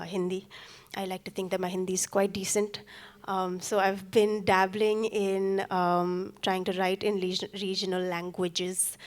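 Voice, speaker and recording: Brief: young woman, singer chatting between songs, earnest and halting, mid-range voice. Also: medium pitch. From Sikkim, India. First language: Nepali. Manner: earnest, hesitant, many ums and restarts. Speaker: singer-songwriter performing live. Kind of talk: talking to the audience between songs. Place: stage at a live arts event.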